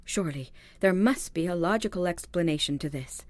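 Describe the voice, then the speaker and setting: A woman's voice: fairly low pitch, brisk, no echo, close to an everyday voice. A woman acting in a radio-style mystery drama as a polite, anxious store heiress, speaking in a formal, earnest, dramatic way. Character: polite, anxious female department store heiress seeking help. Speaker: actor in an audio drama.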